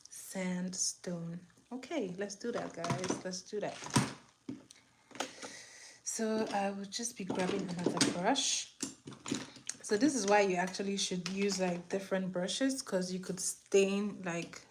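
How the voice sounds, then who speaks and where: A woman's voice: medium pitch, fairly even intonation, takes it slowly, very noisy. A young woman with a Nigerian accent and Igbo as her first language, chatting casually through a makeup tutorial and sometimes dropping to a whisper. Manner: chatty, drops to a whisper while applying. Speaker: makeup vlogger.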